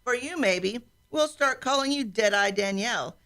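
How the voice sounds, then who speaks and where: A woman's voice: a little high, expressive, moderate pace, fairly bright tone. A woman playing a wry, seasoned starship officer in a science fiction radio play. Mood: teasing, rueful.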